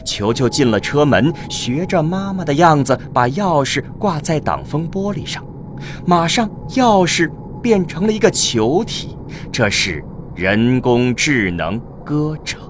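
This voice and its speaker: A man's voice: fairly high pitch, big pitch swings, unhurried. A man narrating a scripted radio drama as a storyteller. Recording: clearly noisy with ambient vehicle sound.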